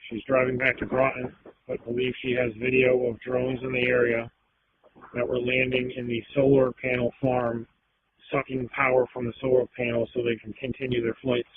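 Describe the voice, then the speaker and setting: A man's voice: mid-range voice, moderate pace. A man working as an emergency dispatcher, relaying a call over police radio. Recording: noisy narrow-band police radio.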